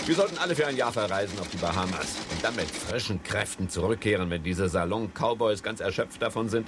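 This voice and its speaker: A man's voice: on the low side, heavy background noise, natural voice. A man acting a cocksure, patronizing stock-market speculator in a 1970s radio play, boastful and slangy. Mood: smug, leisurely. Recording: 1970s studio radio play, crowd chatter behind.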